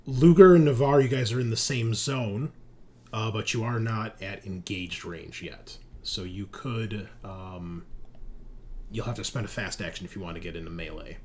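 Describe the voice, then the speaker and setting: A man's voice: lowish voice, moderate pace, darkish tone. A man running a tabletop roleplaying game casually as game master, on a home mic. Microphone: home mic on online group call. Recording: clear background noise on home mic.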